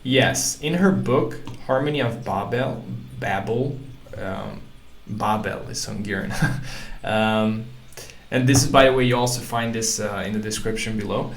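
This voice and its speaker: Halting man speaking in an echoey room. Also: on the low side. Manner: halting, many fillers and restarts, non-native English. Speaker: language-learning channel host. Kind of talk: casual talk to camera, reading quotes aloud. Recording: echoey room with faint ambient noise.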